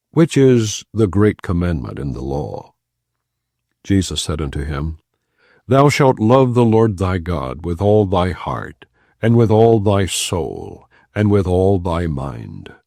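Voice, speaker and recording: A man giving a solemn, measured, non-dramatized reading of scripture from the Bible. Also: low-pitched, warm tone, no echo, clean studio sound. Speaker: Bible reader.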